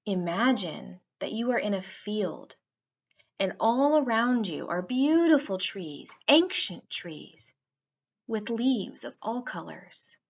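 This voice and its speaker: A woman narrating a guided meditation for children in a soothing, unhurried way with drawn-out phrasing. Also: medium pitch, very animated, muffled recording.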